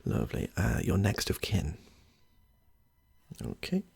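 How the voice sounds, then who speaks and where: A man acts out a courteous, slightly odd British therapist in an ASMR roleplay. The voice is deep and creaky, with a lot of melodic movement. He speaks gently and reassuringly, murmuring small approvals to the client. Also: huge pitch swings, takes it slowly, heavily acted. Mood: chatty, polite. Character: polite, chatty, slightly odd male therapist greeting a client. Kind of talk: soft-spoken ASMR roleplay.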